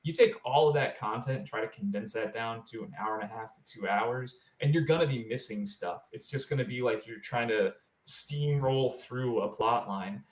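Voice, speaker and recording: A man's voice: medium pitch, normal speed, hardly any pauses. A man on a podcast panel, explaining his view in a conversational way. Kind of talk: casual discussion. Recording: echoey, roomy sound.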